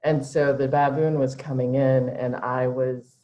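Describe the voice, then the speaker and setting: A woman's voice: a bass voice, flat delivery, unhurried, mellow. A woman from Alabama introducing herself as a doctor, friendly and upbeat, with many ums. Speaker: gynecologic oncologist. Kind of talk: self-introduction on video call. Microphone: video call. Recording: dull lo-fi video call audio.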